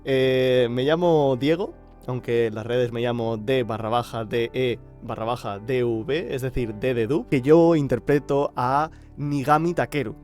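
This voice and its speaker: A man's voice: mid-range voice, a little slow, darkish tone, soft background music. A man, a fandub actor talking casually about himself. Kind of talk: casual self-introduction to camera.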